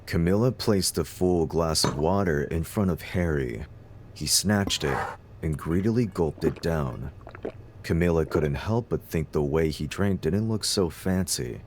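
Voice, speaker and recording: A man's voice: deep voice, expressive, a little slow, slight background noise. A man narrating a scripted story as the narrator of an audio drama.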